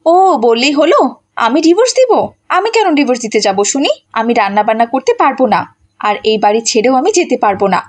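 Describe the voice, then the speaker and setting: A woman's voice: fairly high pitch, very animated, fast. A woman voicing a brash, selfish, stubborn wife in a melodramatic cartoon, with a defiant, whining and dramatic delivery.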